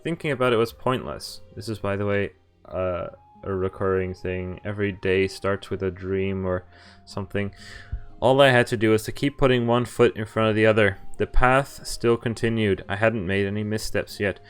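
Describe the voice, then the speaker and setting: A man with a clear, smooth voice is streaming a story game. He reads the text aloud, sometimes hesitantly, and slips into casual, amused commentary over faint music. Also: fairly low pitch, moderate pace. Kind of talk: reading a visual novel aloud with casual commentary.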